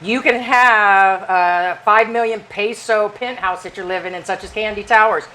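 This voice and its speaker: An older woman from the United States, co-hosting a casual chat and jumping quickly from point to point. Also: medium pitch, bright, forceful tone, poor audio. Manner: chatty, talks over her partner, jumps between points. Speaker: co-host.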